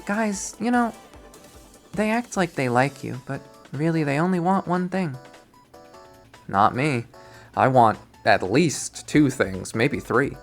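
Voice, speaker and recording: A man voicing a sweet but scheming young college woman, coy and swooping up high as he reads a visual novel. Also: big pitch swings, slow, faint music behind, heavily acted. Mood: confiding.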